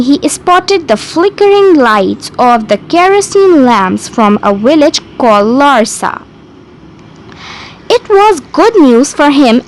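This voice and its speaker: A woman narrates a bedtime story as a storyteller reading from a script. Her voice sits high and moves with animated intonation. She takes her time, placing phrases slowly and deliberately. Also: rough recording.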